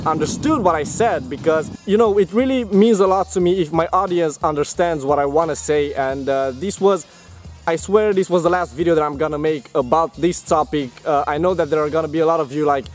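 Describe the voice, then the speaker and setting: A man's voice: on the high side, fairly quick, darkish tone, faint music behind. A young man from Romania with a Romanian accent, talking casually to camera as a vlogger and rambling with many fillers and restarts.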